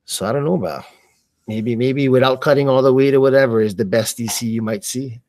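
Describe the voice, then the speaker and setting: A man giving casual, slangy live fight commentary, thinking aloud in a halting way. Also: mid-range voice, a little mellow, no room sound. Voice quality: slangy, informal speech.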